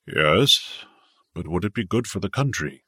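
Man voicing a low, grave older businessman. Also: animated, unhurried, sharp tone, breathy edge, no echo, clean studio sound, exaggerated voice. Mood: doubtful, cautious. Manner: subdued, doubtful, weary.

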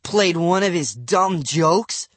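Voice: mid-range voice, slow, no room sound. A narrator voicing an angry young boy who snaps and demands in a storybook. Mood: annoyed. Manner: snapping, shouting, demanding. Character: exasperated, hot-tempered young boy, usually calm brother.

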